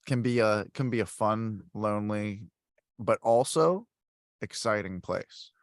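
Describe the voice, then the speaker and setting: A man hosting a podcast in casual chat, telling stories in a rambling, animated way with many fillers. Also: on the low side, unhurried, dry recording, clean studio sound. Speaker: podcast host.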